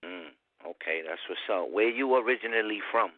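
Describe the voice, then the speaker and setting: A man's voice: lowish voice, fairly quick, no echo, phone-quality sound. A man hosting a casual interview in laid-back, slangy street talk. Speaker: interviewer, channel host.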